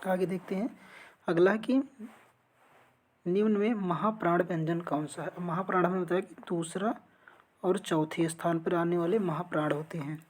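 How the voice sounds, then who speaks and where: A woman's voice: fairly low pitch, steady tone, normal speed, darkish tone. A woman teaching an exam-prep lesson, reading out quiz questions and answering them in an instructional way. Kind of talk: lesson going through multiple-choice quiz questions.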